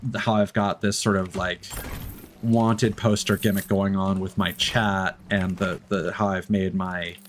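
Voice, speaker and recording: Low-voiced man rambling on a game stream. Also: little pitch movement. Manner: rambling, halting, self-correcting; candid, reflective. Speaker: livestreamer playing a game. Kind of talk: casual streamer chat while gaming.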